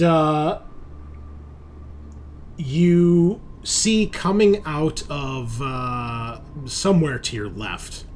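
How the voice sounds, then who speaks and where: Male game master, mid-pitched, improvised descriptive narration and loose chat, some background noise.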